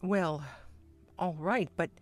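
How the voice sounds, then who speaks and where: A woman playing an elderly, deferential royal advisor in an audio drama, formal and halting. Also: lowish voice, dry recording. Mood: worried. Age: older.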